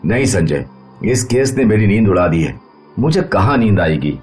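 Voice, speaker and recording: A man's voice: lowish voice, very animated, normal speed, a little mellow, soft background music. A man voicing a suspicious, authoritative police inspector in a cartoon horror story. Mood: troubled. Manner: authoritative, questioning. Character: stern, suspicious, puzzled male police inspector.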